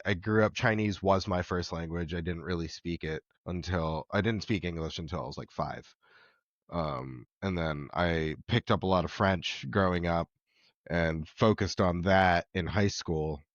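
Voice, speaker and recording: A man telling his life story as a podcast guest, reflective, with many pauses, ums and soft laughs. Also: sits low, dry recording, muffled recording. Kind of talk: candid personal conversation.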